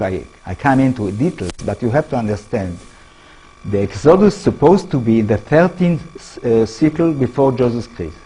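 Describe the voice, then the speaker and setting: A man with an Israeli accent lectures in English, his second language, with a very husky, breathy voice. His pitch swings widely as he throws rhetorical questions at the crowd. His phrasing is halting but earnest. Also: mellow, low-quality recording. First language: Hebrew. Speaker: author giving a talk. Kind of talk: public lecture.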